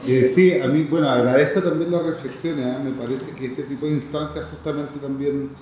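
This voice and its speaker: A man's voice: mid-range voice, fairly even intonation, moderate pace, darkish tone. A man making spontaneous remarks as a participant in a public discussion. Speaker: participant speaking from behind the camera. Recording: very echoey, dull sound, faint noise.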